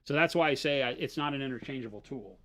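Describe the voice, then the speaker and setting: A man's voice: mid-range voice, fast talker, fairly bright tone. Man explaining painting on a stream. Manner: explaining, fillers and restarts, says "right?". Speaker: co-host painting models on a livestream. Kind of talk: casual explanatory livestream talk.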